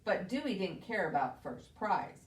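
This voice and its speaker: A woman's voice: on the low side, sing-song, a little slow. Woman hosting children's story time, echoey room. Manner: addresses small children with simple questions and explanations. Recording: echoey room, distant mic, faint noise.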